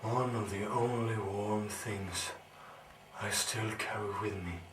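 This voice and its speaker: An actor playing an anguished, brooding scientist villain in a theatrical monologue, grandiose and melancholy, with halting dramatic pauses. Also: male voice, fairly low pitch, steady tone. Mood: sorrowful, wistful. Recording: roomy, distant, slightly noisy recording.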